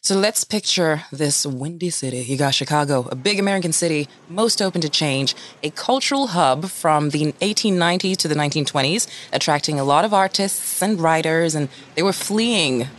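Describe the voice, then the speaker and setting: A woman's voice: low-pitched, animated, normal speed, few pauses, slight background noise. A woman in her thirties co-hosting a podcast, talking in a sassy, playful, slangy way. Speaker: podcast co-host. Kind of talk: casual chat.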